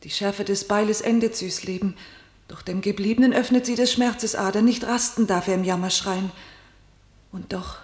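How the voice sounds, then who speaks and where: A woman playing a fearful, submissive and tender sister in a classical tragedy radio play, speaking measured, solemn verse. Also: medium pitch, fairly even intonation, lightly acted. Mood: sorrowful. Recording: echoey room sound.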